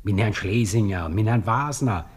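An actor playing a servile, gossipy coffeehouse waiter with a husky voice and a Viennese accent in a radio drama. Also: male voice, fairly low pitch, moderate pace. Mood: eager gossip. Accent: Austrian, Viennese. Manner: obsequious, chatty, sing-song deference.

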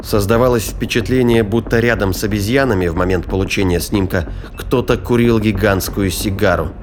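A man's voice: fairly low pitch, a little fast, noisy background. A man narrating a science fiction audio drama in a scripted storytelling style. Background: music.

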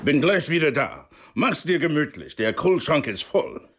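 A man's voice: on the high side, very animated, unhurried, fairly bright tone, slightly rough, no room sound, studio quality, exaggerated voice. An actor playing an easygoing, streetwise American embassy man in a radio drama, chummy and terse as he hands out advice. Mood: casual. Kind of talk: German radio drama acting.

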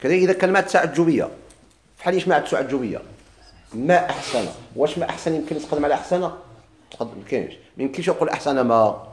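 A Moroccan-accented male teacher talks fast through a grammar lesson, his voice sitting a little high. He keeps asking his class questions, echoes their answers, and stretches out each quoted example slowly. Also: expressive, slight background noise. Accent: Moroccan Arabic. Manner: drawls quoted examples slowly, quick plain explanations; asks students questions and repeats their answers.

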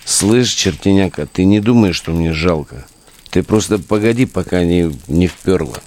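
An actor in a modern audio drama playing a cynical, bossy relic digger, a slangy and commanding drinking buddy. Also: male voice, sits low, animated, moderate pace, darkish tone, a little hiss or hum. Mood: teasing, tipsy.

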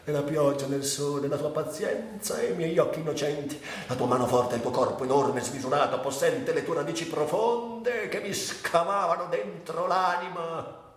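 A man's voice: a little high, lightly acted. Echo-drenched actor as anguished, grieving man. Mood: grieving, subdued. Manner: theatrical, broken phrases with long pauses. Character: anguished, bitterly ironic gravely ill man, grieving and lyrical. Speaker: stage actor and director. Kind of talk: dramatic literary monologue for radio. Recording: very echoey, distant, with faint hiss.

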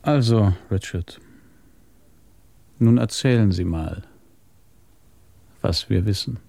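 A man's voice: fairly low pitch, takes it slowly, gravelly, dark tone, no echo. An actor playing a seasoned, sardonic male police inspector in a German radio crime drama. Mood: matter-of-fact. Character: seasoned, dry-witted male New York police inspector.

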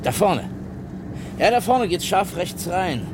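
An actor playing a grumbling, colloquial long-haul truck driver in a 1950s German radio crime drama. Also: male voice, high-pitched, big pitch swings, slow, noisy background, full character voice.

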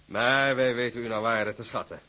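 An actor playing a genial, businesslike company director in a radio drama, formal, courteous and hearty. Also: male voice, medium pitch, fairly even intonation, moderate pace, sharp tone, natural voice. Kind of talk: Dutch radio drama acting.

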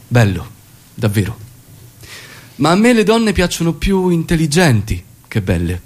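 A lively, gallant revolutionary commander. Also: male voice, fairly high pitch, measured pace. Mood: flirtatious. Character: charismatic revolutionary commander, affectionate and teasing. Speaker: actor. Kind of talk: stage drama dialogue.

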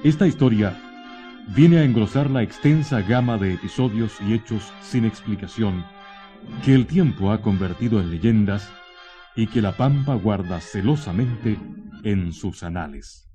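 Low-voiced Chilean man narrating radio drama. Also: lively intonation, dark tone. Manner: solemn, literary, measured phrasing. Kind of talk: scripted dramatic storytelling narration. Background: music.

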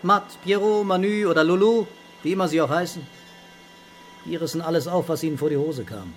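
An actor playing a middle-aged police commissioner in a radio crime drama, speaking in a dry, sarcastic, unflustered way. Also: male voice, on the high side, a little fast, natural voice. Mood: sardonic, dismissive. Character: male police commissioner, unafraid, sardonic, stubbornly denying fatherhood.

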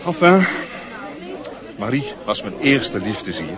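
A man's voice: fairly low pitch, sing-song, slow, exaggerated voice. A man acting a brash, chummy young school leaver who schemes and boasts, in a radio drama. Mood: confiding, smug. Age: young adult. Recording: busy crowd noise behind. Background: crowd chatter.

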